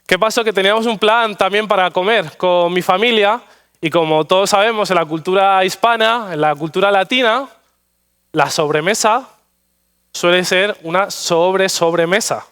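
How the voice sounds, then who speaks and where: A man's voice: high-pitched, moderate pace, fairly bright tone, clear, resonant tone. A man from Spain with a Madrid accent, preaching a sermon in a church and telling anecdotes with self-deprecating humor. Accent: Madrid Spanish. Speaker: guest preacher. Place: church sanctuary.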